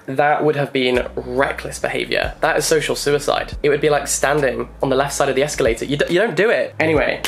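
A young man in his twenties giving an animated, jokey book review vlog, filmed at home and on a night street. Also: medium pitch, gravelly. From England. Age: 20s. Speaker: book vlogger. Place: bedroom, indoors; also night street outdoors.

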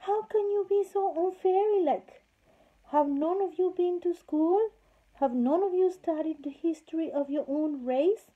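A woman's voice: very high-pitched, a little slow, pitched above the performer's own voice. A woman voicing a firm, stubborn king who lectures the fairies, in a storybook reading. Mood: lecturing. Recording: slightly muffled lo-fi recording.

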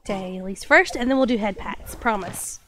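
A woman's voice: mid-range voice, normal speed, a bit bright, a little hiss or hum. A young woman streaming and chatting casually with her viewers, stuffy-nosed with a lingering cough, bubbly and apologetic. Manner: bubbly, apologetic, lots of fillers.

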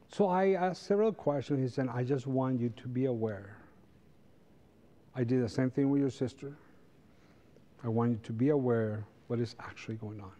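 A man's voice: mid-range voice, warm tone. A middle-aged man with a Costa Rican accent and Spanish as his first language, teaching a church class in a conversational way with rhetorical questions. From Costa Rica. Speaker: Sabbath school teacher. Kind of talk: church lesson to a congregation. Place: large church sanctuary, standing before pews.

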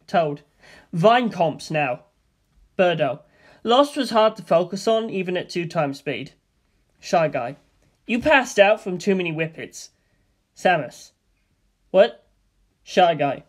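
A man reading a comedy screenplay aloud in a flat, deadpan monotone, announcing scene headings and character names. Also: high-pitched. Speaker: reader of a comedy script.

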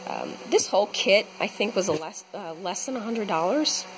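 A woman's voice: mid-range voice, lively intonation, normal speed, background noise. A young woman casually explaining a home painting project while filming, chatty with many ums. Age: young adult. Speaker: vlogger renovating her home. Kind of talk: casual how-to narration. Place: house, indoors. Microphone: handheld camera.

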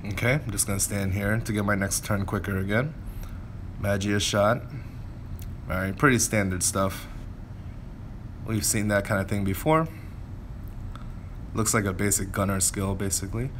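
Low-pitched man giving casual game commentary. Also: fairly even intonation, normal speed, pauses often, a little hiss or hum. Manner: thinking aloud, explanatory. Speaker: gameplay commentator.

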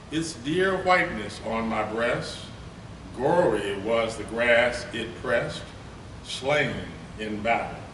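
A man's voice: mid-range voice, fairly bright tone. Older man reciting from a podium, roomy. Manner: halting, many fillers in remarks; slow, declamatory recitation. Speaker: poetry reader. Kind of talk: poetry reading at a podium. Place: conference room with lectern. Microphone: podium mic, from a far camera. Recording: roomy, distant, noisy room recording.

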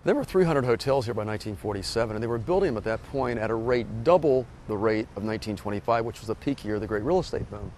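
A man guiding a walking tour and explaining local history in a measured, informative way. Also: fairly low pitch, animated, darkish tone, a little hiss or hum. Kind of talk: scripted walking-tour narration. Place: outdoors on a beach boardwalk, later a hotel lobby.

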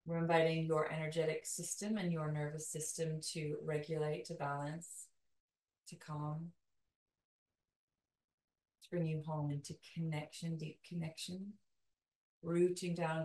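A woman leading a guided meditation in a soothing, calming way, with slow, deliberate phrases and long pauses. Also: low-pitched. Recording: roomy echo, distant from mic.

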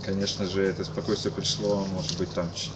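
A tired man in his thirties from Kyiv giving a casual, halting eyewitness account as an interviewee, repeating and restarting his words. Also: sits low, monotone. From Kyiv, Ukraine. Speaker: interviewee, musician. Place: cafe-like booth indoors. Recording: muffled lo-fi webcast, loud background noise.

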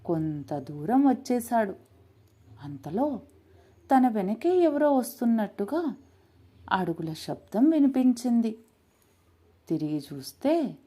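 Slow, expressive female storyteller. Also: a little high. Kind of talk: dramatic moral-story narration.

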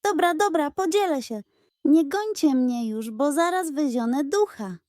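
A woman's voice: high voice, animated, a little slow, darkish tone, no echo, clean studio sound, pitched above the performer's own voice. A woman voicing a cheeky, scheming, whiny pug dog in a children's audio story. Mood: exhausted, whiny.

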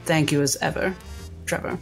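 A woman's voice: low-pitched, steady tone, moderate pace, vocal fry, soft background music. A woman voicing a haughty, wealthy woman doctor, arch and amused, in a tabletop roleplay. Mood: gracious.

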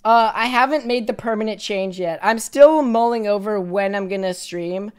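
A young woman in her twenties streaming live from a small bedroom, hoarse from yelling, bubbly and effusive as she thanks viewers over a headset mic. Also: mid-range voice. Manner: bubbly, effusive, quick swings to excited squeals.

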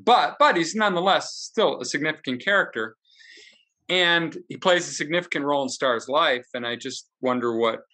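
A man's voice: medium pitch, pushed and bright. A man on a video call, thinking aloud in a hesitant, searching way during a book discussion. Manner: hesitant, searching, restarts often. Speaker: discussion participant. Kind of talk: casual discussion. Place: room with shoji screen, indoors.

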